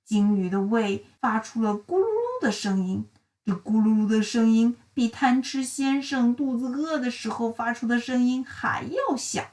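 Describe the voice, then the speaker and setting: A woman narrating a children's storybook in an expressive, dramatic and deliberate storytelling style. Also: on the high side, recorded from a distance. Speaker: read-aloud storyteller for children.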